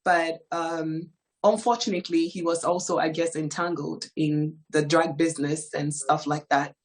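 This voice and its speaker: A woman from Ghana in her thirties, telling her life story as a guest in a hesitant, soft-spoken way, with frequent fillers. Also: low-pitched, steady tone, normal speed. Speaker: guest giving testimony. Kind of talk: personal testimony in an interview.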